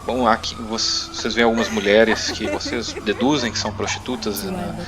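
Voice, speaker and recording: Game master: Brazilian man, lowish voice, conversational improvised narration, music clearly underneath. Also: steady tone, moderate pace. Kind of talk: improvised narration of a tabletop role-playing game.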